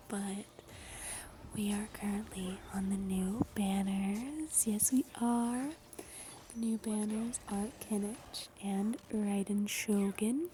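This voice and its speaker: Whispering young woman, softly rambling. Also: medium pitch. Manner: whispering, rambling, frequent ums. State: slightly raspy, dry throat. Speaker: gaming YouTuber. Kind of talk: soft-spoken whispered gameplay chat.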